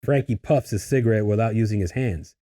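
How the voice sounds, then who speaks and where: A man's voice: on the low side, a little fast, warm tone. A man reading stage directions as scripted narration for a table read.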